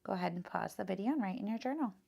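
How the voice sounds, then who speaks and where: A woman reading a children's picture book aloud as a teacher, in an expressive, instructive style. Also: medium pitch, racing, gravelly, husky.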